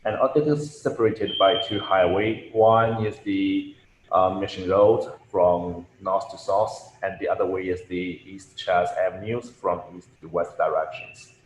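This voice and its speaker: A young man presents a thesis in a careful, somewhat halting way. His voice is on the low side. It carries room echo and a faint hiss from a call mic. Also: steady tone. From Shanghai, China. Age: young adult. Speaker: graduate student presenting. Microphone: computer mic on a video call. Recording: echoey room with faint noise.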